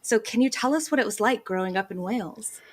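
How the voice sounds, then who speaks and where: A woman's voice: on the high side, quick pace. A woman hosting a podcast, eager and gushing, with many filler words. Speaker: podcast host. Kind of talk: casual podcast interview.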